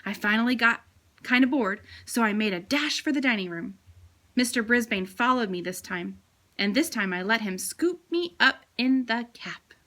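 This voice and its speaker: A woman's voice: on the high side, lively intonation, normal speed, pushed and bright. A woman voicing a small, eager male pet hamster who tells his adventures in a playful storybook manner.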